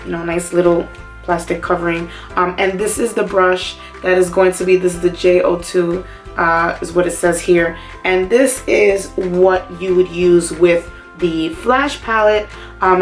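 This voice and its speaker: A woman, a beauty vlogger talking casually to camera while a bit hoarse from a cough, filling gaps with many "um"s. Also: lowish voice. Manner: chatty, many "um"s and side remarks. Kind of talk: casual makeup review to camera.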